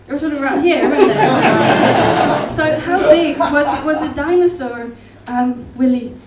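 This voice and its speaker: A Dutch-accented woman with a high voice works through a stand-up set, full of hesitations and deadpan asides. She sounds distant from the microphone in an echoey club room with loud background noise. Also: a little slow, a loud crowd. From Netherlands. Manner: rambling, hesitant, deadpan absurd. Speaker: stand-up comedian. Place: comedy club stage. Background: audience laughter.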